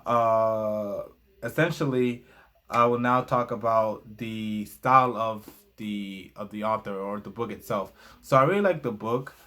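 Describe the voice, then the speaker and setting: A young man with a fairly low voice speaks in English, his second language after Spanish. He reviews a book casually for his channel, stumbling into fillers and restarts as he reads from notes. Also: unhurried, sharp tone.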